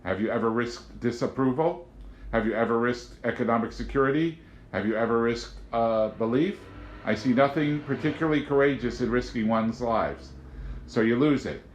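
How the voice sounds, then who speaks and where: A man voicing a calm, idealistic young woman who questions and challenges in an audiobook. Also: medium pitch, normal speed, muffled recording, a little hiss or hum, lightly acted. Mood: earnest, challenging. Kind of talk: audiobook character voice. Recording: roomy, echoing home recording.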